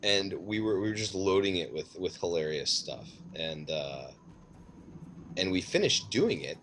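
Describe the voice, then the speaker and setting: A man's voice: sits low, animated, a little slow, fairly bright tone. A man telling a casual personal story in a hesitant, rambling way, as a streamer chatting. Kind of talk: casual rambling anecdote told in conversation. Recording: clearly noisy background.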